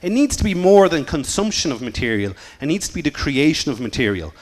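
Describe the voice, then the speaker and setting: Irish guy doing a jokey conference talk, kinda high voice, upbeat. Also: brisk. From Ireland. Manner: joking, lively, self-deprecating. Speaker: conference speaker. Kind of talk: fast-paced humorous presentation talk.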